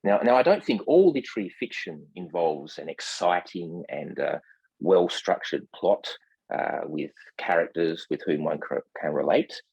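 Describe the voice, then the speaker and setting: A man speaking as a guest translator in a scholarly discussion, formal in wording, with hesitant, measured phrasing full of ums. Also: low-pitched, animated, vocal fry, a little mellow, husky, no echo, muffled recording. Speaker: literary translator, podcast guest.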